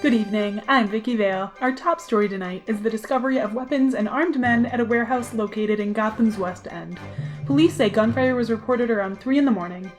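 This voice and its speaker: A woman playing a composed news anchor in a radio drama, reading formally and in a measured way. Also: fairly high pitch, soft background music, close to an everyday voice. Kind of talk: radio news bulletin acting.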